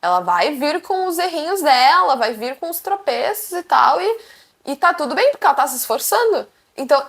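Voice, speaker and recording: A young woman with a Brazilian Portuguese accent, chatting to camera as a vlogger and telling stories in a lively, animated way. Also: sits high. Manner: animated, chatty, acts out quotes. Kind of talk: casual storytelling to camera.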